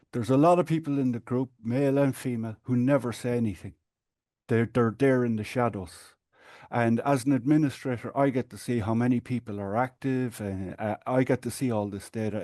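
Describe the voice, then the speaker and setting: A man from Ireland with an Irish accent, a guest speaking in a measured, explanatory way with small restarts. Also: medium pitch, dark tone, dry recording, studio quality. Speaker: guest, support group administrator. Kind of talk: earnest conversational interview.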